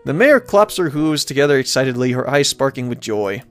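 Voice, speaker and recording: A man's voice: mid-range voice, very animated, fairly quick, soft background music. A man hosting a let's play, reading game text aloud dramatically and adding playful, wry asides.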